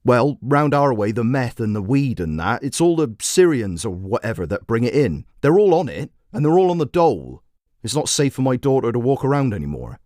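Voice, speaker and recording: A man's voice: mid-range voice, big pitch swings, a little fast, a little mellow, no echo, clean studio sound, lightly acted. A man voicing a defensive, bigoted radio caller in his forties, blunt and grumbling. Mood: indignant.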